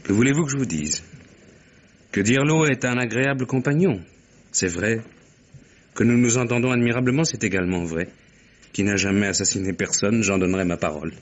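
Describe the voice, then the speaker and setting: An actor playing a brisk, self-assured private secretary, talkative and frank, in a mid-century French radio mystery. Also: male voice, fairly low pitch, lightly acted. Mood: frank, animated.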